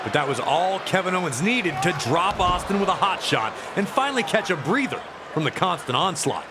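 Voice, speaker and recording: A man voicing an excitable wrestling storyteller, narrating matches in a scripted, emphatic and dramatic way for a video game. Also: high-pitched, a little fast. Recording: loud arena noise behind the voice.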